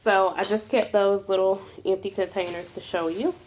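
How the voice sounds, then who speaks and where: A woman vlogger with a cold that makes her nasal, talking softly and unhurriedly to her viewers with many ums. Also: mid-range voice, steady tone, normal speed, a little hiss or hum, poor audio. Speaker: mukbang vlogger. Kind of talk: casual talk to camera.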